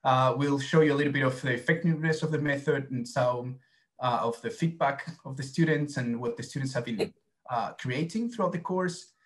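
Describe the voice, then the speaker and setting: A man's voice: mid-range voice, brisk. A man in his thirties from Argentina with an Argentine Spanish accent, giving a conference presentation over a video call with many fillers and restarts. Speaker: academic presenter.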